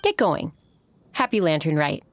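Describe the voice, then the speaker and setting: A woman's voice: deep voice, big pitch swings, normal speed, slight background noise. A woman playing a courteous, helpful young innkeeper in a video game, polite and explanatory. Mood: brisk. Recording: music playing behind.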